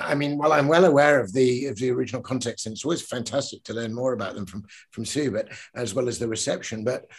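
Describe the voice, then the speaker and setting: Older man, husky voice, poet reading verse, earnest, video call from home. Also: mid-range voice. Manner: earnest, with many restarts in talk and swelling emphasis when reading. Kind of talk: discussion and reading his poem aloud. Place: home living room.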